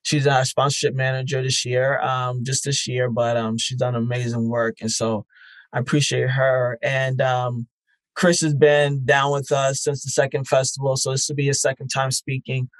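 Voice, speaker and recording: A man speaks as a podcast guest at a mid pitch, his voice dry and close with no room sound. He thinks aloud, circling back with fillers and restarts while making his points. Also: completely flat delivery, normal speed, no room sound, low-quality recording. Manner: reflective, many fillers and restarts. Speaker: podcast festival founder, guest. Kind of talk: conversational interview answers.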